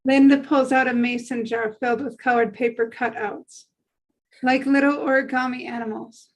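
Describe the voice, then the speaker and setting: A woman's voice: high voice, steady tone, normal speed. A woman reading stage directions as scripted narration at a drama table read.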